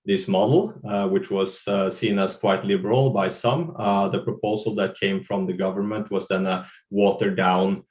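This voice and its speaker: Halting Norwegian man with a low voice. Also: fairly even intonation, a little mellow. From Norway. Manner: halting, many fillers and restarts. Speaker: NGO managing director. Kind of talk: webinar panel talk.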